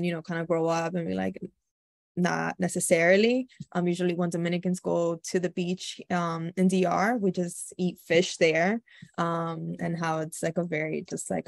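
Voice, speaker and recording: A young woman from New York hosts a relaxed group talk on a video call. Her voice sits low with a gravelly edge, and the sound is dry and close. She talks loosely, with many fillers. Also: dry recording. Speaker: workshop facilitator. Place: home room with a slanted ceiling. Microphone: laptop webcam on a video call.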